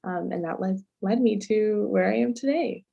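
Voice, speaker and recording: A woman's voice: medium pitch, normal speed, creaky, husky, muffled recording. A teenage girl speaking as a guest activist, passionate and casual, with many filler "like"s. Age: teens. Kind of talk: panel discussion answers.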